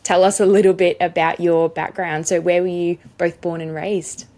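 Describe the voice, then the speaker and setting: A woman's voice: on the low side, level tone, moderate pace, darkish tone. A woman guest-hosting an interview show, asking guests questions. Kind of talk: warm-up questions in a faith interview.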